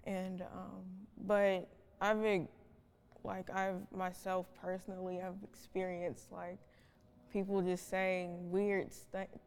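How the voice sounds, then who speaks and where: A young woman in her teens answering informally and haltingly as a student panelist. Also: mid-range voice, little pitch movement, darkish tone, clean tone. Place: stage in a reverberant hall. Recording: echoey hall with long reverb.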